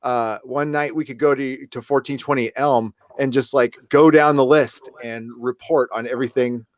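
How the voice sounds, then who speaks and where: A man's voice: medium pitch, fairly even intonation, moderate pace, no echo. A man hosting a podcast in loose, joking, casual chat and reading out comments. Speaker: podcast host.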